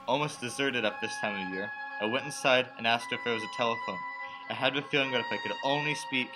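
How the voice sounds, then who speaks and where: A young man acts out a frightened, haunted traveler in a radio play. He delivers his story with brooding drama in a mid-range voice. Music plays clearly behind him. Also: medium pitch, close to an everyday voice, poor audio. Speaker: student actor. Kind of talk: radio play acting, dramatic first-person narration.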